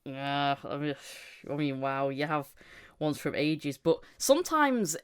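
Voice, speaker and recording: Female podcast host, low register, casual and chatty, giggly and self-deprecating voice actor talking shop. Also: sing-song, unhurried, clean tone. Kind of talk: casual podcast chat about voice acting work.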